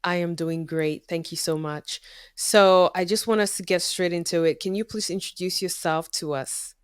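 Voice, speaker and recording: A woman's voice: on the low side, steady tone, moderate pace, smooth, no room sound, clean studio sound. A woman hosting an interview podcast, speaking in formal, polite phrases. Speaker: podcast host.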